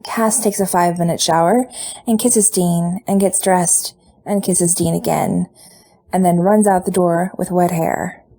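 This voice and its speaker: A woman reads a story aloud in a mellow, fairly low voice. She tells it at an easy pace and gives the dialogue light voices. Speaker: podfic reader. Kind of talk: reading fan fiction aloud.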